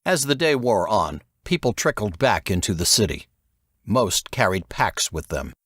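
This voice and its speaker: A man's voice: on the low side, very animated, a little slow, fairly bright tone, no echo, studio quality. A man reading a thriller novel aloud as scripted narration, with dramatic expression. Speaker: audiobook narrator.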